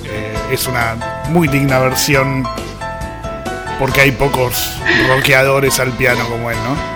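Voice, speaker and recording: A man's voice: medium pitch, steady tone, slow. A man giving casual music commentary on the radio. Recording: prominent music behind the voice. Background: instrumental music.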